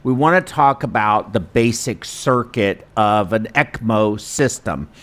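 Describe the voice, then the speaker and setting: A man hosting an informal instructional webinar, talking in a chatty, self-deprecating, halting way. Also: lowish voice, fairly bright tone. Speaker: host and perfusionist instructor. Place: studio.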